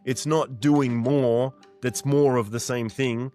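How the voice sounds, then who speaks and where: A man's voice: medium pitch, steady tone, slow, a little mellow, faint music behind. A man in his forties talking earnestly and directly to the listener as a mindset coach, pressing points through repetition. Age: 40s. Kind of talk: motivational monologue.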